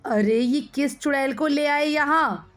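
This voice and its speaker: A woman voicing a spiteful middle-aged aunt who scolds and bosses in a sharp manner, in a moral story. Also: high-pitched, unhurried, pitched above the performer's own voice. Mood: scornful.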